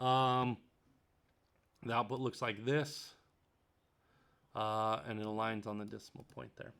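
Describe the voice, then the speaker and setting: A man's voice: fairly low pitch, flat delivery, a little slow, vocal fry, smooth. A man teaching programming, reading passages from a textbook aloud and commenting as he works, with frequent ums and uhs. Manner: thinks out loud, many ums and uhs; reads passages then comments on them. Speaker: programming tutor. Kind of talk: screen-recorded coding tutorial, reading a textbook aloud.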